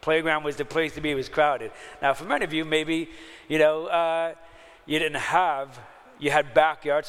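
A man preaches in a relaxed, conversational way, often pausing to check that his listeners are with him. His voice sits a little high for a man. The sound carries a long reverb, as if it were recorded in a large church hall. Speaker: pastor. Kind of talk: sermon.

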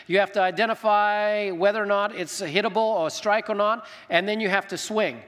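High-pitched male preacher in a reverberant hall. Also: a bit bright. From Zimbabwe. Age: middle-aged. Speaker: senior minister preaching. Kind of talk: conversational sermon to a congregation. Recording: long reverberant hall sound.

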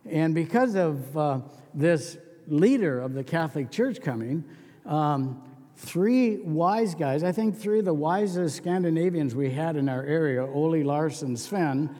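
A man's voice: on the high side, animated, a little slow, warm tone, in a large hall. A man preaching a sermon as pastor, telling stories in a folksy, conversational way.

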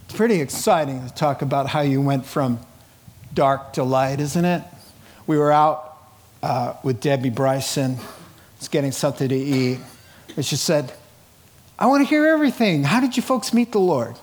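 A man's voice: mid-range voice, expressive, moderate pace, slight background noise. A middle-aged man preaching a sermon in a conversational, informal way, animatedly telling stories and acting out dialogue. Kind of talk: sermon, reading scripture and retelling it in colloquial, dramatized asides.

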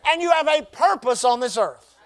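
Pastor, male, very high voice. Emphatic sermon delivery with call-and-response. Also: animated. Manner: call-and-response preaching, emphatic drawn-out phrases.

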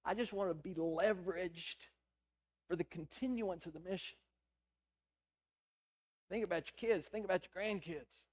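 Hoarse middle-aged pastor preaching. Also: male voice, high voice, normal speed, long pauses, darkish tone. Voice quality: hoarse, raspy voice. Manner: conversational storytelling with quoted dialogue. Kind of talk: sermon.

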